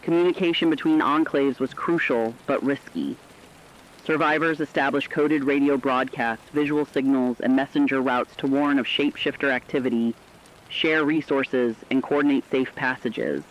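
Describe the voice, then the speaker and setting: A man giving scripted, documentary-style dramatic narration with a measured, serious delivery. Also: mid-range voice, steady tone, dull audio.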